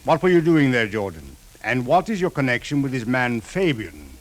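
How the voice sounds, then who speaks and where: An actor playing a stern, shrewd police official in a 1950s radio drama, speaking formally and precisely. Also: male voice, medium pitch, sing-song, normal speed, lightly acted. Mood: stern, probing.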